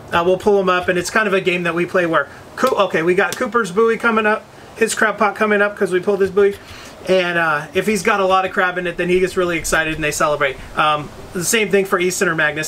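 A man in his thirties hosting a casual fishing vlog on an outdoor porch, explaining gear in a relaxed way with frequent ums. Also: sits high, slight background noise. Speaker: fishing channel host.

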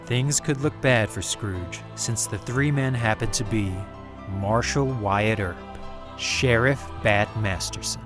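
A man's voice: lowish voice, slow. A man giving a dramatic scripted narration of a story as its narrator. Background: music.

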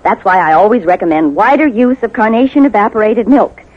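A woman's voice: mid-range voice, animated, brisk, breathy edge. American spokeswoman on muffled old radio. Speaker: advertising spokeswoman. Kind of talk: scripted 1950s radio commercial. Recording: muffled 1950s radio recording.

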